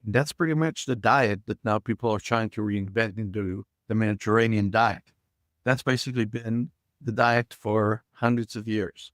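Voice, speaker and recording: A man's voice: fairly low pitch, normal speed, dry recording, clean studio sound. A middle-aged man from Israel, talking as a podcast guest with frequent hesitations and filler sounds. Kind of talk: casual interview talk.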